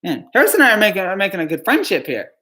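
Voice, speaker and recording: A man from New Jersey on a live stream, answering chat questions in a halting, dejected way, with frequent stammered restarts and sighs. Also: high voice, huge pitch swings, pushed and bright.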